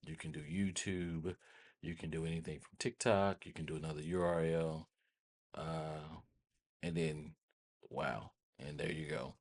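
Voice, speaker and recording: A man hosting a casual software tutorial, thinking aloud informally and often repeating his phrases. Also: extremely low pitch, slightly rough. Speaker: tutorial host.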